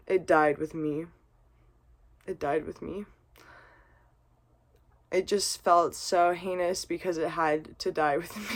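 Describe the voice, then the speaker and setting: Rambling woman musing after a drink. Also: mid-range voice. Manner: rambling, earnest, swears and laughs often. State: has been drinking vodka. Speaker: vlogger. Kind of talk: casual reflective monologue.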